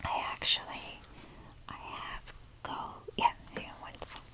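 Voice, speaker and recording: A woman speaking in a soft breathy whisper, rambling casually and hesitantly with wry, self-deprecating humour in an ASMR show-and-tell style. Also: unhurried. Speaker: ASMR video creator showing a toy collection.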